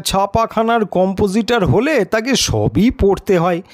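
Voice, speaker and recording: A man's voice: high-pitched, expressive, a little slow, no echo, studio quality. An actor playing a timid, bewildered male writer who narrates his own tale, hesitant and questioning. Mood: hesitant, wondering. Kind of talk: first-person audio story narration with acted dialogue.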